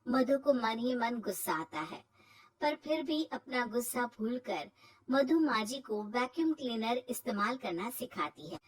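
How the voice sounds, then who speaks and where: A woman narrating a cartoon story in a calm, even storytelling manner. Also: fairly high pitch, measured pace, breathy edge, low-quality recording.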